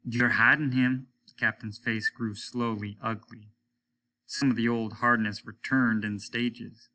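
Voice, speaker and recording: A man reading a story aloud as dramatic, expressive narration. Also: lowish voice, a little slow, slight background noise, rough recording. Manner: expressive, acts out the dialogue.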